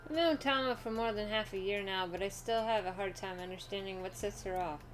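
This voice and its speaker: Female game streamer, casual, medium-pitched, music playing underneath. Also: fairly quick, rough recording. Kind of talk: casual reactions and reading game text aloud. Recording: music behind the voice.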